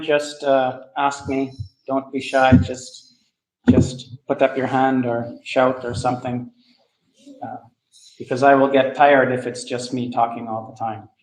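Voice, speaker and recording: A man's voice: mid-range voice, rough recording. A man from Canada giving a casual travel talk to an audience, hesitant, with many fillers and restarts. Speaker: guest speaker at travel club.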